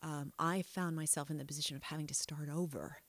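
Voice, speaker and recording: A woman's voice: low-pitched, steady tone, fast, fairly bright tone, no echo. A middle-aged woman telling her life story in an interview, reflective and frank, often trailing off and restarting her sentences. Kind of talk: candid personal storytelling.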